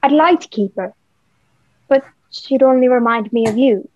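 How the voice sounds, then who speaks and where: A woman's voice: sits high, normal speed, darkish tone. A young woman playing an earnest, defiant boy in a radio play. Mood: reluctant. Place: indoor room, remote video recording. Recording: muffled home remote recording.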